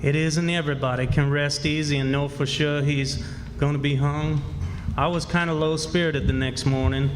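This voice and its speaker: A man's voice: fairly high pitch, natural voice. An actor playing a superstitious, plainspoken country boy who narrates his own tale in folksy, ungrammatical radio drama style. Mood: uneasy. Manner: folksy, ungrammatical, rambling storytelling. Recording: echoey hall, live stage performance. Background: music under the voice.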